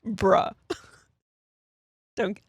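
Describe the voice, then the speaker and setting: A woman's voice: drawn-out words, no room sound. A woman in her thirties co-hosting a podcast, chatting casually and telling stories with lots of fillers. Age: 30s. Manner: chatty storyteller, many fillers. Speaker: podcast co-host. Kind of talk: casual chat.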